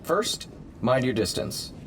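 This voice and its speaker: A man acts as a touchy superhero scientist in an audio drama. He is earnest and defensive, with a lively, swinging pitch over faint noise. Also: slow pace. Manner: defensive, explanatory.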